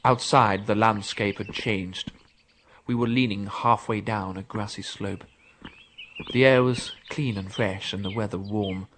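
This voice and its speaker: Deep-voiced actor as an awed professor storyteller. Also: male voice, steady tone, a bit bright, slight background noise. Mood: measured wonder. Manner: measured, literary storytelling. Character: male professor recounting a time-travel expedition, awed. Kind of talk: dramatic radio drama narration.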